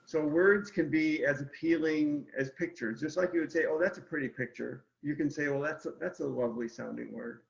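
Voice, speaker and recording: A man with a fairly high voice talks casually about his craft as an interview guest, laying each point out step by step. He speaks directly to his listeners. The sound is very roomy and a little dull. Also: fairly quick, gravelly, hardly any pauses. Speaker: picture book illustrator, guest. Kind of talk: casual explanatory chat about art.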